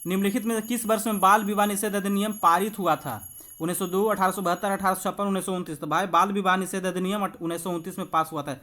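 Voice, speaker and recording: A man's voice: sits high, steady tone, fast talker, sharp tone. A man works through exam quiz questions as a coach. He explains in an instructive way, talking to his viewers familiarly, like friends. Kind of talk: quiz walkthrough lesson with explanations.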